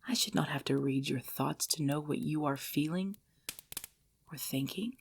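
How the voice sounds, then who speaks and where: A woman's voice: sits low, slightly rough. A woman voicing an ancient, possessive vampire lover in an intimate ASMR roleplay, speaking breathily and formally, often dropping into a whisper. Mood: coaxing, tender. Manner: slow, formal, archaic phrasing, hushed.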